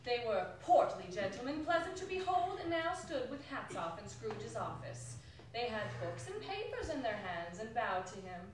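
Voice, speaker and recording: A woman's voice: fairly high pitch, sing-song, normal speed. Woman narrator in a very echoey hall. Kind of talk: theatrical scripted narration, live dramatic reading. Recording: distant, very echoey, noisy hall recording.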